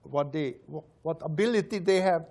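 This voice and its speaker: An older man from Hungary with a Hungarian accent, telling stories in halting, broken English and laughing often. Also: on the high side, a little mellow. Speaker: panelist. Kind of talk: casual storytelling.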